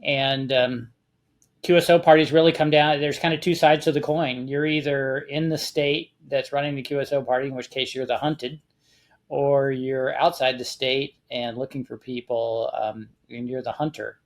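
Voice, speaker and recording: A man with an American accent talking casually and explaining a hobby step by step, with a measured, conversational manner. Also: mid-range voice, steady tone. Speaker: amateur radio contest guest expert.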